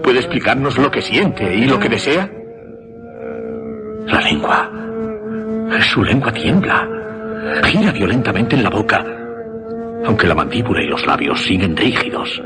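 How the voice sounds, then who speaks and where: An actor playing an earnest, intense mesmerist, speaking in dramatic, halting, repeated phrases in a horror radio drama. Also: male voice, medium pitch, huge pitch swings, over loud music. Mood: urgent. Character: earnest mesmerist man, intense, increasingly horrified.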